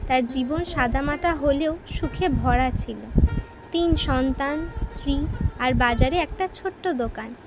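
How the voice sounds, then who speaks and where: High-pitched woman narrating a children's story. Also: noisy background. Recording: music behind the voice. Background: instrumental music.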